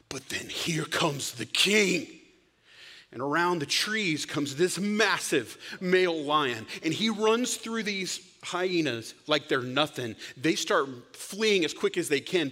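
Male preacher, brightish higher voice, storyteller who swings loud to whisper; long hall reverb. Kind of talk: sermon.

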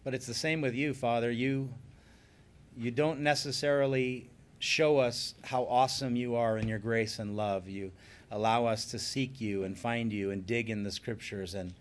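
A man preaching a sermon, earnest and emphatic, slowing down on key phrases and then leading a closing prayer. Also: mid-range voice, steady tone. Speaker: pastor.

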